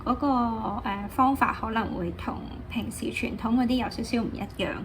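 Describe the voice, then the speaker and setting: A woman's voice: fairly high pitch, normal speed. Hong Kong woman, noisy recording. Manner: switches between Cantonese and English, many fillers. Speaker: artist and art teacher. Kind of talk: casual talk about her art. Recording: noisy background.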